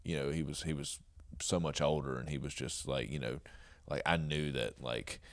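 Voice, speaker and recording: A middle-aged man with a deep, booming voice and a Southern Georgia accent, rambling through stories with chuckles and fillers as a podcast guest. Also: steady tone, moderate pace. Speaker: podcast guest, jiu jitsu coach. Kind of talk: casual interview storytelling.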